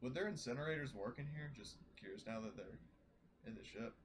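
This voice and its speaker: A man's voice: medium pitch, a little fast. A man chatting casually as a player in a tabletop roleplaying game. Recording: quiet microphone, clear noise, clicks.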